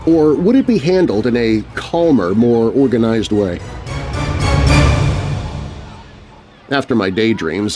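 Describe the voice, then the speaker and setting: A man narrating a scary storybook tale as a shaken, grumbling kid on family vacation, with expressive, dramatic storytelling and deliberate pauses. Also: medium pitch, pitched above the performer's own voice, close to an everyday voice. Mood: wary, imaginative. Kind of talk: first-person scripted story narration.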